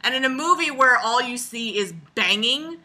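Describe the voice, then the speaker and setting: A woman giving casual, rambling video commentary in an animated, sarcastic way, with crude humor and frequent swearing. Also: fairly high pitch, moderate pace. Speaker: video blogger.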